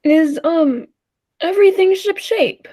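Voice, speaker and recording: High-pitched, anxious woman acting a time traveller's companion. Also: takes it slowly, dark tone. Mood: uneasy. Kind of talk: 1940s-style radio play acting and narration.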